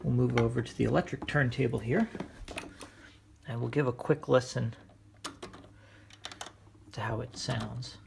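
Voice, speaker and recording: A man hosting a casual hobby demonstration in his living room, explaining things in a measured, hesitant way. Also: medium pitch, gravelly, noisy background. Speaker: host, record hobbyist. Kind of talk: casual demonstration of vintage records. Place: indoors, living room at home.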